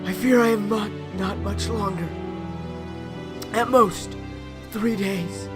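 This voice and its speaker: A man voicing a poisoned, dying king in a storytelling, solemn and halting as he pleads with his warrior. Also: sits high, steady tone, loud music behind the voice. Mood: grave, pleading.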